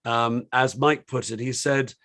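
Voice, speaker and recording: A British man with a slightly croaky voice opens a panel discussion over a video call. He talks in a rambling, hesitant way, stopping and restarting with plenty of ums and uhs. His pitch sits in the middle range. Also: steady tone, a little slow, a bit bright. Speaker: host of an online panel discussion.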